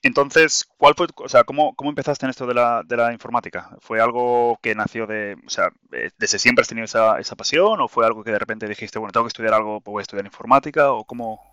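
A man's voice: mid-range voice, fast talker, hardly any pauses, bright, forceful tone, clean tone, no echo, dull audio. A man from Spain co-hosting a podcast, joking and chatting casually with many restarts. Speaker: podcast co-host. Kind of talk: casual interview chat.

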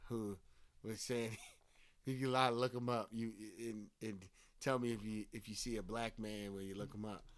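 A man's voice: lowish voice, normal speed. A man with a Philadelphia area accent, co-hosting a casual book-club chat and telling stories with swearing and lots of fillers. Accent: Philadelphia (Delco). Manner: animated storytelling, swears casually, many fillers. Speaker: podcast co-host.

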